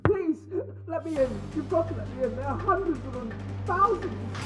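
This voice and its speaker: A man's voice: very high-pitched, expressive, moderate pace. A man playing a flustered, stammering army officer in an audio drama, urgent and frightened. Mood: desperate. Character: panicky British army officer fleeing the undead, male.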